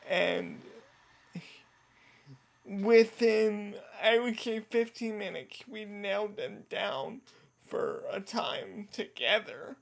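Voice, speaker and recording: Slow-talking man with a creaky voice. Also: sits high. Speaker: podcast guest, pop culture podcaster. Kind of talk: casual chat.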